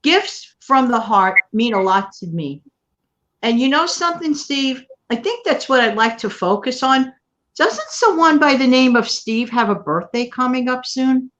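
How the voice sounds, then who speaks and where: A middle-aged woman hosts a casual live stream, talking in a loose, rambling way and laughing easily. Her voice sits a little high. The sound is dull and lo-fi. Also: lively intonation, unhurried, a bit bright. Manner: chatty, rambling, laughs often. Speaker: channel host.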